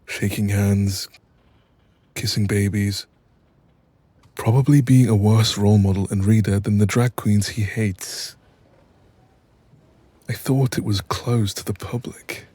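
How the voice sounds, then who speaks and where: A man playing an apologetic, exasperated mafia boss in an audio roleplay, with a husky voice and a wry, sweary, chatty manner. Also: on the low side, fairly even intonation, slow, long pauses, mellow, no room sound. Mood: gossipy, indignant. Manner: wry, sweary, chatty, calls listener doll. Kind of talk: audio roleplay acting, intimate one-sided dialogue.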